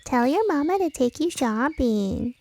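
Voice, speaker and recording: A bossy, condescending lady in an audiobook. The voice is shrilly high and mocking, with drawn-out, patronizing phrases. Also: expressive, darkish tone. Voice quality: high-pitched put-on voice. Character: bossy, condescending ladies mimicked in mockery.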